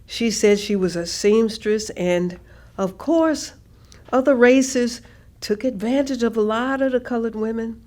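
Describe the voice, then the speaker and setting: A woman playing a thoughtful grandmother in a staged reading, recounting family history in a measured, earnest way. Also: fairly high pitch, dark tone, natural voice. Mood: earnest, reflective.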